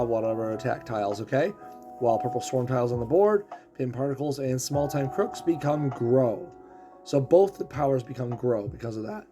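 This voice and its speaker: Give me a man explaining game mechanics step by step while losing his voice, with faint music underneath. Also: medium pitch. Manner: explanatory, walks through ability text. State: losing his voice, clearing throat. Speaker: game streamer. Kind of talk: casual game commentary.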